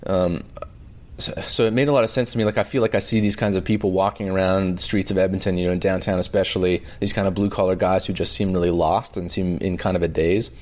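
A man from Alberta with a Canadian accent, talking reflectively as an interview guest, halting as he searches for words. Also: low voice, steady tone. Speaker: documentary filmmaker, interview guest.

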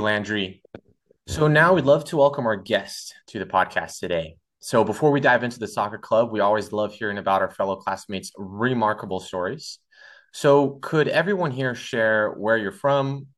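A young man from Brazil hosts a student podcast in a somewhat low, upbeat voice. He mixes a scripted opening with casual, filler-laden chat. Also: a bit bright. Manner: upbeat, with many "awesome"s and uh fillers. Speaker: podcast host.

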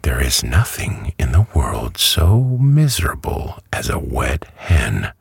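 A man reading a storybook who voices a prim, easily offended female yellow hen. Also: a bass voice, huge pitch swings, slow pace, creaky, slightly rough, no room sound, studio quality, full character voice. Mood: matter-of-fact.

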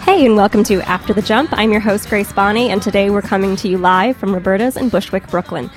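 A woman's voice: medium pitch, quick pace, soft background music. Female American podcast host, mid-range voice, chatty and enthusiastic interviewer.

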